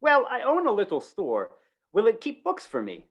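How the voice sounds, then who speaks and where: A man's voice: very high-pitched, wildly swooping pitch, moderate pace, breathy edge, heavily acted. A man playing a meek, hesitant, apologetic father and small shopkeeper in an old-time radio comedy, polite and flustered. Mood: curious.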